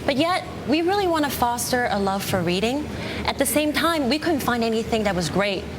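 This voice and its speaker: A woman's voice: lively intonation, brisk. American businesswoman interviewed over background noise. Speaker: guest entrepreneur, company co-founder. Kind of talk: broadcast business interview answers. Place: open TV studio beside a newsroom. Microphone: lapel. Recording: clear background rumble and noise.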